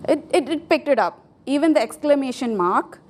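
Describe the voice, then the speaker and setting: Higher-voiced woman giving a tech talk. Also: lively intonation, moderate pace, darkish tone, a little hiss or hum. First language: Tamil. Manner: informal, hesitant, with fillers and restarts. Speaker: conference speaker. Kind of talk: technical conference talk with live demo. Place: conference hall.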